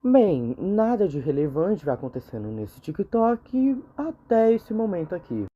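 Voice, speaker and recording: A man's voice: high voice, sing-song, measured pace. A man with a Brazilian accent narrating a horror game explainer video in an informal, conversational way. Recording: muffled, narrow phone-like sound.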